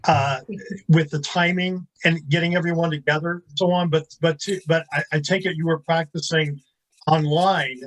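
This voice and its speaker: A man in the audience of an online call, giving casual feedback in a halting way, repeating words. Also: on the high side, steady tone, rough recording.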